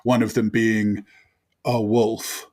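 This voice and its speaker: A man playing a tabletop role-playing game, bantering casually in a deliberate, wordy, playful way. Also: on the low side, little pitch movement, darkish tone.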